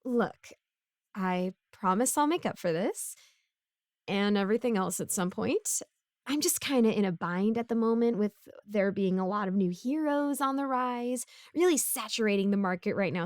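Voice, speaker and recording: A woman's voice: medium pitch, animated, normal speed, darkish tone, dry recording, clean studio sound, natural voice. A woman playing a broke, bumbling, chatty supervillain neighbor in a casual audio roleplay, rambling awkwardly as she pleads and justifies herself. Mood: sheepish, chatty.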